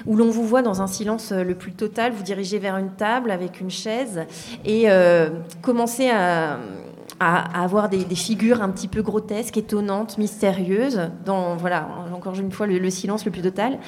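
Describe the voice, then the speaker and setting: A woman's voice: mid-range voice, moderate pace, a little mellow. A woman hosting an interview, introducing her guest and asking questions in a hesitant way with many fillers. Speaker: interviewer, host. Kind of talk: radio interview.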